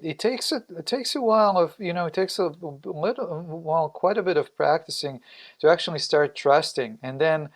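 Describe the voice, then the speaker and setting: Hesitant woman hosting a podcast interview. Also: deep voice. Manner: hesitant, halting, rephrasing as she goes. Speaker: podcast host. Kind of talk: conversational interviewing.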